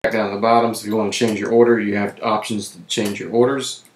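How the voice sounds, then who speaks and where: A man with a voice that is giving out, who clears his throat often and keeps correcting himself while walking through software on screen. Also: lowish voice, level tone, quick pace, echoey room. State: losing his voice. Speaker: web tutorial presenter. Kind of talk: screen-recorded software walkthrough.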